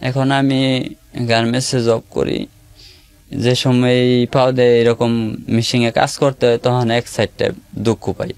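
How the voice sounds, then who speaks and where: A man's voice: mid-range voice, flat delivery, measured pace, darkish tone, smooth, no echo. A young man, telling his own story in a plain, hesitant way. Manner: plain, hesitant, simple colloquial speech. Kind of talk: personal testimony in an interview.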